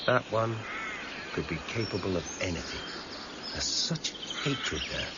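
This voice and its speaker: An actor playing a weary, measured senior police detective with quiet authority and an English accent, in a radio drama. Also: male voice, sits low, big pitch swings. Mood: weary, reflective. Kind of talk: 1990s British radio drama acting. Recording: muffled, noisy old radio broadcast recording.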